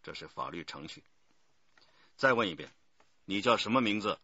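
Hard-edged detective chief, low and rough, pressing, swinging tone, radio drama. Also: male voice, expressive, moderate pace, pushed and bright, no room sound, lo-fi sound, full character voice. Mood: stern, pressing. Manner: authoritative, pressing. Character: stern male police detective chief interrogating a suspect.

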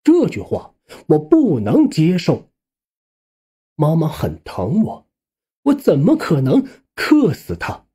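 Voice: mid-range voice, wildly swooping pitch, takes it slowly, mellow, breathy edge. A narrator reading a suspense ghost story aloud as scripted, dramatic audiobook narration.

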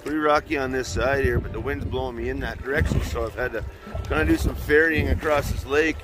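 A man's voice: medium pitch, fairly quick, over music, low-quality recording. A man in his forties in a packraft on a windy lake, chatting casually to an action camera in an easygoing, rambling way. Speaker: outdoor vlogger. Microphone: action camera mounted in front. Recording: heavy wind noise on the mic. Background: wind and splashing water.

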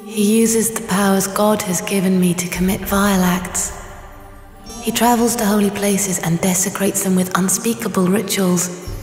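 A woman playing the solemn, gentle apparition of a young woman in a video game, with ghostly reverb. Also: medium pitch, fairly even intonation, normal speed, faint music behind. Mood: grave. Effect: ghostly echoing reverb. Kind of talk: dramatic game cutscene acting. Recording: echoing long reverb.

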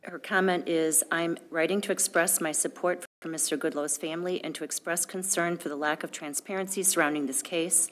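Lower-pitched woman reading formally. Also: fairly even intonation, fairly quick, hardly any pauses, a bit bright, slight background noise. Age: middle-aged. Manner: formal, matter-of-fact. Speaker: village clerk. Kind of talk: reading official documents aloud. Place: indoor board meeting room, at a desk.

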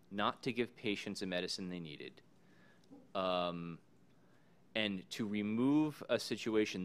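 Male panelist, forties, lowish voice, measured and thoughtful, podium mic in a meeting room. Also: a bit bright. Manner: measured, thoughtful, with frequent ums. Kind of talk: panel discussion remarks. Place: conference room. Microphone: podium microphone.

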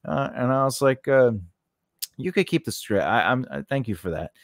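A man's voice: mid-range voice, big pitch swings, normal speed, no room sound. Man teaching informally, dry close-mic sound. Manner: conversational, rambling, punctuated with "you know" and "right?". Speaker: host of a screenwriting lesson. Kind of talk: informal instructional talk. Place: small indoor home studio. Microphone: large condenser microphone on a boom arm, close up.

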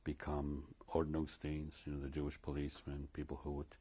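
An older man speaks in a deep, slightly husky voice. He recounts memories in a measured, reflective way, pausing and restarting often. He is recorded on a lapel mic in a living room. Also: completely flat delivery, warm tone. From Poland. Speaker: interviewee. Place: living room, seated before curtains.

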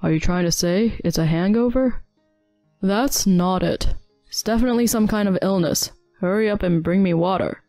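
A selfish husband in a domestic story, played by a woman, whining and bossy in an irritated, demanding way. The voice has a creaky edge and sits dry and close over background music. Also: medium pitch, expressive, unhurried, warm tone, dry recording. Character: selfish whiny arrogant husband, adult man. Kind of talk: dramatized domestic story narration.

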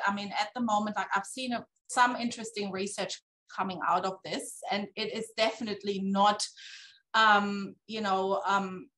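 A woman's voice: medium pitch, a bit bright, low-quality recording. A woman in her thirties giving a keynote talk over a video call from her office. She talks casually and ends many sentences with "right?". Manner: conversational, tags sentences with "right?". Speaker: keynote speaker. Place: office with a poster on the wall. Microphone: laptop webcam on a video call.

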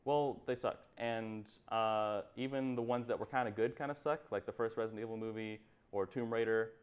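A man's voice: lowish voice, fairly even intonation, moderate pace, clean tone. A man in his twenties giving an opinionated, casual rant as a talk show panellist, blunt, emphatic and repeating himself for effect. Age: 20s. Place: small indoor TV studio.

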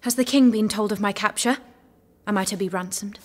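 An actress playing a defiant, devout young peasant woman held prisoner, steady and proud, in an audio drama. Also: mid-range voice, a little fast, close to an everyday voice. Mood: proud defiance.

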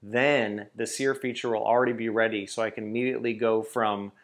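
A man in his thirties hosting a home grilling vlog, upbeat and hyped, who breaks into loud whoops. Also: lowish voice, steady tone, brisk. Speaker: grilling channel host. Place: home kitchen and backyard.

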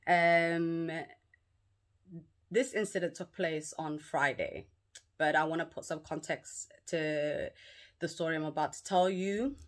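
A young woman chatting straight to her viewers in a storytime vlog. Her voice sits a little low and she tells the story with emphatic, slangy energy. Her is easy to hear. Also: slow pace. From London. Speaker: vlogger.

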